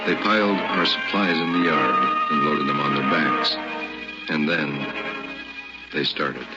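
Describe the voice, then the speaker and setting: An actor playing a grown man recounting a boyhood ordeal, narrating an old-time radio drama in a grave, measured way. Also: rumbling low. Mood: somber. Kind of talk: scripted first-person radio drama narration. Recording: dull old radio recording. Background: loud dramatic music.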